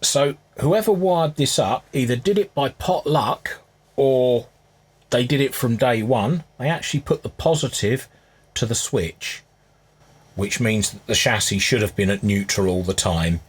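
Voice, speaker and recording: A man with a husky voice giving casual, unscripted hands-on repair commentary, musing aloud as he works. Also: medium pitch, a little slow. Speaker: hobbyist restorer presenting his repair.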